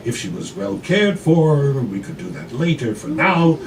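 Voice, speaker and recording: A man's voice: mid-range voice, very animated, measured pace, voice pushed up. A man voicing an earnest, hopeful immigrant mother as she reads out her heartfelt letter in a live story reading. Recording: noisy live room, distant mic.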